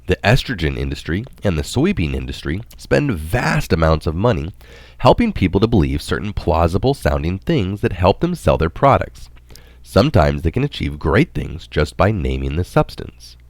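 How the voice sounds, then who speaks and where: A man with a low-pitched voice narrates scripted scientific prose. His intonation moves widely as he carefully sets out technical terms. The recording is close and dry. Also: wildly swooping pitch, normal speed, darkish tone, no echo. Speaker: narrator reading an article aloud.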